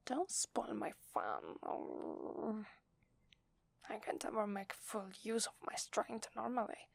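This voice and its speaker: Girl doing a loving werewolf wife, italian accent, highish whispery asmr voice w/ creaky edges, tender n intimate. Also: very animated, slow pace, dry recording, heavily acted. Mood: playful, excited. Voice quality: breathy, whispery. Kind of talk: ASMR roleplay, soft whispered intimate acting.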